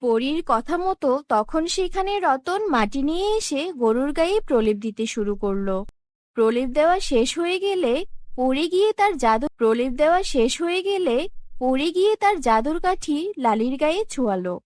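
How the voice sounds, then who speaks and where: A woman's voice: high-pitched, animated, normal speed, darkish tone, no echo, studio quality. A woman narrating a scripted children's fairy tale as storyteller.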